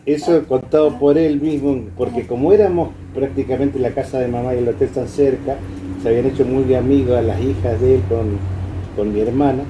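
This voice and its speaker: A man's voice: medium pitch, fairly even intonation, fairly quick. An older man with an Argentine accent, sharing memories in a casual, anecdotal chat. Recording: distant from mic, noisy room.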